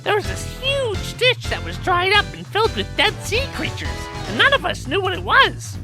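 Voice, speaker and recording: Female actor as young male cartoon monkey, squeaky-high, very expressive, cheeky, chatty, music behind. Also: moderate pace, exaggerated voice. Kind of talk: fan audio drama cartoon acting.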